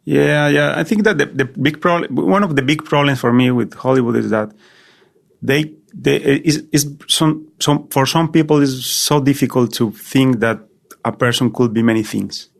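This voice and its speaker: A man's voice: medium pitch, moderate pace, vocal fry. A screenwriter from Colombia, a native Spanish speaker, talking casually as a guest in English, often repeating words as he searches for them. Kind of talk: casual conversation.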